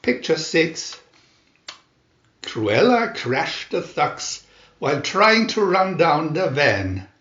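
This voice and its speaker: A man narrating a children's story in a deliberate, expressive read-aloud manner. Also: on the high side. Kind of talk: scripted children's story reading. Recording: roomy, echoey, dull sound.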